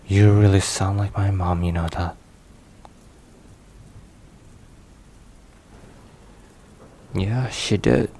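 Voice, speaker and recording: Guy doing a deep slow mafia boss boyfriend, tired but caring, asmr roleplay, rain noise behind him. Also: steady tone. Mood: wry, reflective. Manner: hushed, unhurried, sighing between phrases.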